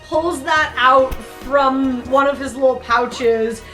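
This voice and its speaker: A woman chats casually at a tabletop game, describing her moves as a player. Her voice is high, with music running behind it. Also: takes it slowly, a bit bright.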